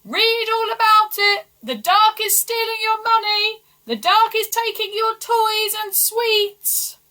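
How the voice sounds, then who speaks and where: A woman voicing a loud, alarmist newspaper crier in a children's storybook, calling out in drawn-out phrases. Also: very high voice, voice pushed up, full character voice. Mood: urgent, alarmist.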